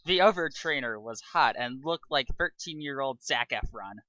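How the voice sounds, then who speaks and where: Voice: medium pitch, lively intonation, normal speed, sharp tone, no echo, lo-fi sound. A narrator giving an amateur dramatic reading of fan fiction.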